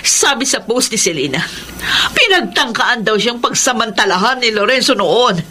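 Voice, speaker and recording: An actress playing an indignant, emphatic middle-aged aunt with a Filipino accent in a radio drama. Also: female voice, on the high side, sing-song, normal speed, pushed and bright, a little hiss or hum. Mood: indignant resolve. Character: indignant wronged aunt, fiery and determined.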